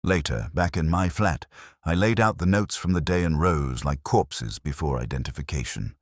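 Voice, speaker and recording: A man reading a scripted first-person detective story in a measured, literary, brooding manner. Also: a bass voice, expressive, dry recording, studio quality. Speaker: narrator.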